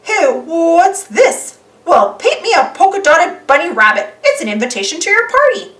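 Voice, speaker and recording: A woman's voice: very high voice, very animated, normal speed, a bit bright, roomy sound, far from the mic, voice pushed up, full character voice. A woman voicing a bashful, apologetic male cartoon bully in a storybook, with a hesitant, folksy manner. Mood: relieved.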